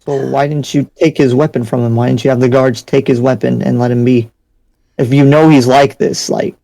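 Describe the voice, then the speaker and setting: A man's voice: medium pitch, gravelly, a little mellow, dry recording, natural voice. A man playing a stern, skeptical townsman in an improvised anime game roleplay, pressing with repeated questions and lecturing.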